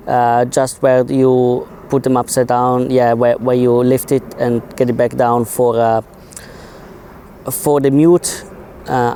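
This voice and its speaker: A man's voice: mid-range voice, warm tone, slight background noise. A man giving a casual, informal technical explanation as a co-reviewer of film gear.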